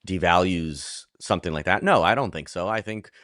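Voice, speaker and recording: Low-voiced movie podcast co-host, first language Polish, rambling, dry close mic. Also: male voice, moderate pace, fairly bright tone, no echo. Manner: rambling, many restarts and fillers. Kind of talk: casual chat about movies.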